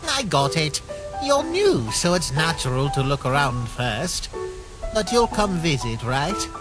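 Eager male skeleton man acting, emphatic and helpful, unhurried, swinging melodically for a comic dub. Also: on the high side, sing-song, over music. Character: eager, loud, friendly male skeleton monster.

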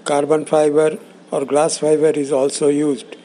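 A man giving a scripted instructional narration in a measured, explanatory way, in short phrases. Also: on the high side, monotone, mellow, slight background noise. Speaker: instructor presenting components.

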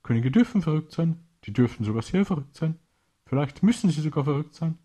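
A gloating, half-mad pirate villain acted out by a male storyteller in a children's tale. The voice jumps up high and theatrical, with a husky edge. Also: animated, brisk, mellow. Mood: gloating, triumphant. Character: crazed, gloating male pirate usurper, the king's cousin.

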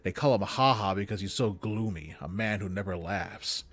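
A man giving an expressive literary reading of a story, with dramatic, deliberate phrasing. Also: lowish voice. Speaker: story reader. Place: small room with bookshelves, indoors. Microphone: close studio microphone on desk.

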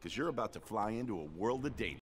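An actor playing a cocky young starfighter pilot who teases his friends in a fast, bouncy way. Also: on the low side, lively intonation, a little hiss or hum, lightly acted. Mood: teasing. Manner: quick, teasing.

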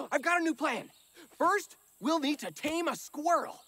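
A woman voicing an eager, overconfident young boy adventurer in a cartoon, with an animated, rambling, cocky way of talking. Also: high-pitched, a little slow, no echo, heavily acted. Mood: excited scheming. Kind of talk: cartoon voice acting.

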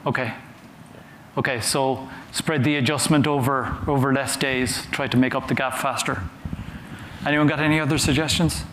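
A man giving a conference talk from a stage, conversational and halting, often repeating words as he goes. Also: medium pitch, steady tone, a bit bright. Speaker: conference speaker. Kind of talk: conference talk with audience questions. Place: large conference hall on a stage. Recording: roomy hall sound with faint noise.

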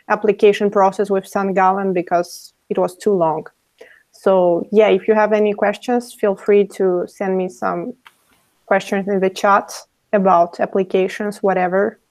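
A woman's voice: medium pitch, a little mellow, no room sound. A woman in her twenties from Moldova introducing herself on a video call in a matter-of-fact way, speaking through earphones. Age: 20s. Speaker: former student guest. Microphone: earphone headset on video call.

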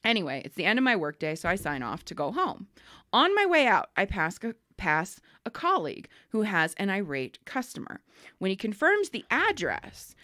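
A woman co-hosting a casual podcast, telling work stories with lively acted-out quotes and frank swearing. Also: medium pitch, no echo, clean studio sound. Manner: animated storyteller, acts out callers and swears freely. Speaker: podcast co-host. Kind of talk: casual storytelling chat.

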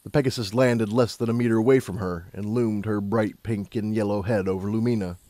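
A male narrator reads a scripted story in a fairly low voice. His delivery is measured and dramatic, and the recording is dry with little room sound. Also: fairly even intonation, dark tone, no room sound. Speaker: audiobook narrator.

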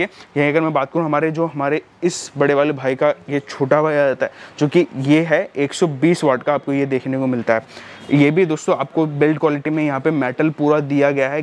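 A man talks his viewers through a row of speakers in a big indoor showroom, chatty and repetitive. His voice sits a bit high, and his pitch barely moves as he talks. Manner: chatty, repeats "dosto" and "yahan pe". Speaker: shop presenter reviewing speakers. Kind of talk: casual product walkthrough. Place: large indoor audio showroom.

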